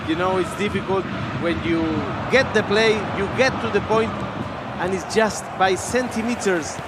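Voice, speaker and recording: High-voiced Argentine man co-commentating over loud noise. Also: normal speed. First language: Spanish. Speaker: esports football co-commentator. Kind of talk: live colour commentary. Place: live esports event venue. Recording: live venue with loud background ambience.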